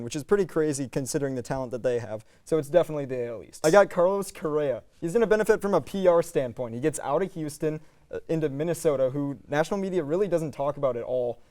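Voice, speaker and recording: A man's voice: on the high side, fast, dark tone. A man in his twenties with an American accent, working as a student sports reporter and play-by-play announcer. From United States. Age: 20s. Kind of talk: sports reporting, highlight reads and play-by-play commentary.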